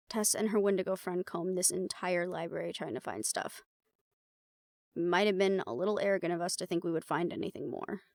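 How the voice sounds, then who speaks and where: Woman acting weary, uptight woman with creaky voice. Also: fairly low pitch, no echo. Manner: dry, deadpan, exasperated. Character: uptight, weary, bespectacled woman in a supernatural research team. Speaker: voice actor in an audio roleplay. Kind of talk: fantasy audio drama roleplay, conversational acting.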